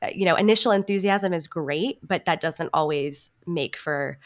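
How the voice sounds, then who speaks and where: Young woman, slightly low voice, earnest. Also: moderate pace. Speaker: podcast guest, actor and marketing strategist. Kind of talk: casual interview conversation.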